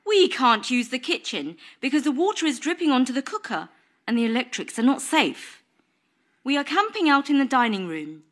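A man's voice: very high voice, very animated, normal speed, fairly bright tone, pitched above the performer's own voice, exaggerated voice. A man voicing a bossy, indignant woman in a storybook reading, fussy and scolding.

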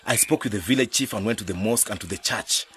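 A man's voice: lowish voice, quick pace, fairly bright tone, slight background noise, close to an everyday voice. An actor playing a weary, philosophical village man in a radio drama.

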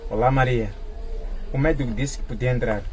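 A man's voice: medium pitch, steady tone, a little fast, natural voice. A man playing an earnest, polite teenage boy in a radio drama. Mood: shy, tender. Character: earnest, modest teenage boy, school swimmer. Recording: echoey hall, noisy crowd behind.

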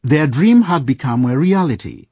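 A man's voice: a little high, big pitch swings, moderate pace, mellow, breathy edge, no room sound. A man narrating a scripted radio drama as a storyteller, suspenseful, with playful asides to the listener. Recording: dull radio mix with music. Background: music.

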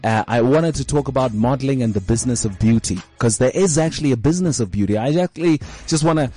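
A man's voice: medium pitch, quick pace, warm tone, soft background music. A man hosting a casual interview show, rambling with many fillers and restarts. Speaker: show host.

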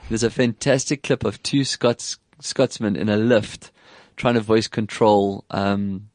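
A man with an English South African accent hosting a casual tech chat, animated and quick to put on voices when quoting people. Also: fairly low pitch, dry recording. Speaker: podcast host.

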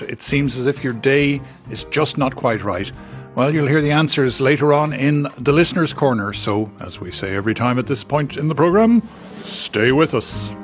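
Mid-pitched man co-hosting a radio show. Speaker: radio co-host. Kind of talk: scripted radio presenting. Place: radio studio.

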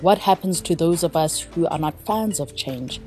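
Woman host, low voice, scripted intro read over faint music. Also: moderate pace, warm tone. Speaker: podcast host. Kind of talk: scripted narration.

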